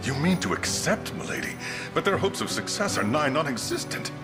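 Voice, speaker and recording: A man playing a stern, loyal retainer in a video game, speaking formally and with measured reproof. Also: on the high side, sing-song. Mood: grave, resigned. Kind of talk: video game cutscene acting. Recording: loud game music behind. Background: game music.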